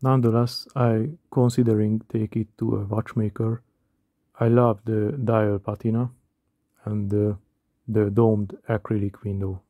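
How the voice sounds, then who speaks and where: Hungarian-accented man in his thirties, dry close voice-over, slow and self-mocking. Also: on the low side, flat delivery, dry recording, phone-quality sound. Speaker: watch collector. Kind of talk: scripted voice-over narration.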